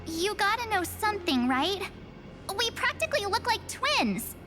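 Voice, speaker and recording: A woman's voice: big pitch swings, a little slow, music in the background, heavily acted. An actress voicing an earnest young heroine who is worried for her friends, speaking in an emphatic, pleading way. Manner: pleading and emphatic, voice rising high. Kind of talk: video game cutscene voice acting.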